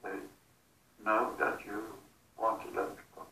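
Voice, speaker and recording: A man speaking as if in a trance, in short, halting, fragmented statements with a breathy delivery. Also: fairly low pitch, monotone. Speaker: hypnosis subject relaying messages. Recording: distant, echoey, noisy recording.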